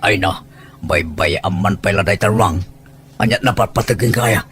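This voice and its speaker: A man's voice: lowish voice, normal speed, fairly bright tone, slight background noise, close to an everyday voice. A man with a Filipino accent playing a sly, lecherous older village man in an audio drama, coaxing and exclaiming as he goes. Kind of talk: Ilocano audio drama acting. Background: background music.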